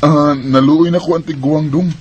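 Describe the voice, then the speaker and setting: A man's voice: on the high side, steady tone, normal speed, darkish tone, lightly acted. A man acting a caring, hardworking elder brother in a Bisaya radio drama, earnest and reassuring.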